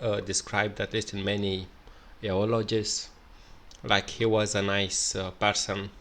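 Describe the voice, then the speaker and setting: A man with a Romanian accent, speaking English in an unscripted solo commentary, halting with many fillers and restarts. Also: on the low side, creaky, fairly bright tone. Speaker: video commentator.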